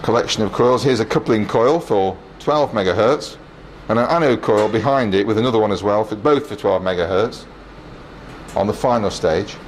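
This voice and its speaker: A man's voice: on the low side, normal speed, rough recording. Matter-of-fact male engineer over machinery noise. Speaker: transmitter engineer giving a station tour. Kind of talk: casual technical walkthrough, explaining equipment while operating it. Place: indoors in a transmitter hall. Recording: clear machinery and air-cooling noise.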